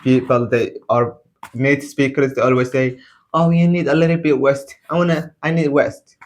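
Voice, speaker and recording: A man from Somalia with a Somali accent speaks casual, halting learner English to camera, repeating phrases as he practises. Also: medium pitch, little pitch movement. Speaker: English learner and video blogger.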